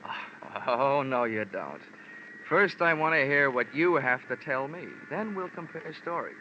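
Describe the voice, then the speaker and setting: An actor playing a wisecracking, unflappable tough insurance investigator in an old-time radio detective drama, wry and slangy. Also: male voice, mid-range voice, animated, unhurried, muffled recording, slight background noise, lightly acted. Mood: sardonic. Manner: wry, hard-boiled, slangy.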